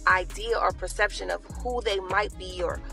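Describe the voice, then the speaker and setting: A woman's voice: mid-range voice, steady tone, normal speed, creaky, pushed and bright, soft background music. A woman explaining her view in a casual, conversational monologue, checking in with the listener. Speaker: clip speaker.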